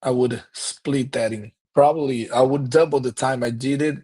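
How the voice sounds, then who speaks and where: Brazilian pastor guest, 30s, husky voice, mid pitch, earnest and reflective. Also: male voice, steady tone, normal speed, mellow. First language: Portuguese. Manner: earnest, reflective, many restarts. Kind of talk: conversational interview.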